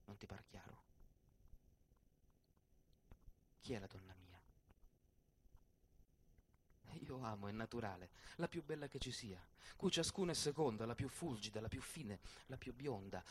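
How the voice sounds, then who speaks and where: A man's voice: fairly low pitch, a little hiss or hum, lightly acted. An actor playing a proud, self-mocking, lovelorn poet swordsman in a theatrical radio play, with a dramatic delivery. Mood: yearning, hushed. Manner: dramatic, swinging between muttered asides and loud declamation. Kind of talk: theatrical radio play monologue with guitar accompaniment. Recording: guitar music behind the voice. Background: plucked guitar music.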